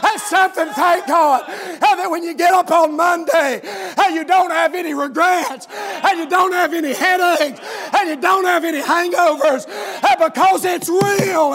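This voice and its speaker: A man with a Southern US accent preaching a fervent sermon, often shouting and punctuating his phrases with exclaimed amens. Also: very high-pitched, a little slow, few pauses, some chatter behind. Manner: forceful, often shouting delivery.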